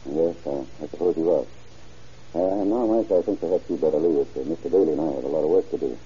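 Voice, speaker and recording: A brisk sheriff, deep and really dark voice, muffled old radio. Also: male voice, slightly rough, heavily acted. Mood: businesslike. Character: brisk, businesslike male small-town sheriff. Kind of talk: old-time radio drama acting.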